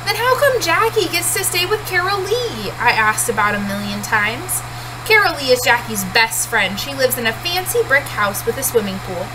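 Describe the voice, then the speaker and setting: Higher-voiced woman reading aloud, noisy background hum. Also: lively intonation, normal speed. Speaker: teacher reading aloud to a class. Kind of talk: storybook read-aloud, first-person narration. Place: small cluttered room at home, indoors.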